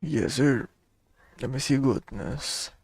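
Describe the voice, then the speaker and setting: Creaky-voiced casual gamer. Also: mid-range voice, slow pace, darkish tone. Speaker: game player reacting to story. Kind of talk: casual reaction commentary.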